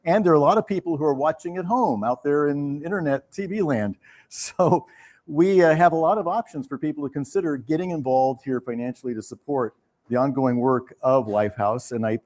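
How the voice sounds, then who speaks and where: A man hosting a live benefit evening, speaking to an audience in a measured, halting and courteous way. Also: medium pitch, a little mellow. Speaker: host of a theater benefit evening. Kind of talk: live fundraising appeal to an audience.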